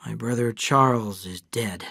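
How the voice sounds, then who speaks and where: A man voicing a grave, widowed father of twins who speaks in a measured, earnest way. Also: lowish voice, vocal fry, pushed and bright, slightly rough, dry recording, studio quality, full character voice. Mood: solemn.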